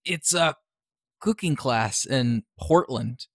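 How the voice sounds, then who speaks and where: A man's voice: mid-range voice, expressive, takes it slowly, slightly rough, no room sound, studio quality, heavily acted. A man playing an eager, determined teenage schoolboy in an audio drama, insistent and tripping over his words.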